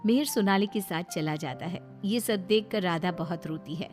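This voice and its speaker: A woman's voice: fairly low pitch, moderate pace, music in the background. A woman reading scripted narration for a moral story.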